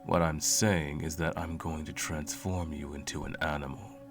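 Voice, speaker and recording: A man playing a cruel, smug, all-powerful sorcerer in an ASMR roleplay, with a slow, condescending, menacing drawl and a gravelly voice. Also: very deep voice, little pitch movement. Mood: mocking, domineering. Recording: music playing under the voice. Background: music.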